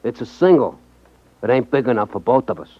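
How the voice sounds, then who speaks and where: An actor playing a shifty, placating small-time underworld go-between, slangy and defensive, in a 1950s radio drama. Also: male voice, mid-range voice, animated, a little fast, dry recording, lightly acted. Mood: uneasy. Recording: muffled 1950s radio recording.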